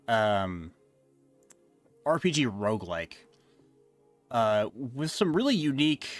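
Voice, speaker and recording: A man on a live stream talks loosely, stumbling through fillers and half-finished thoughts at a middling pitch. His voice is wearing thin and hoarse, and soft music plays faintly behind him. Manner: rambling, hesitant, many fillers. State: losing his voice. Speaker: streamer ranking games he reviewed. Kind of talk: casual live-stream chat.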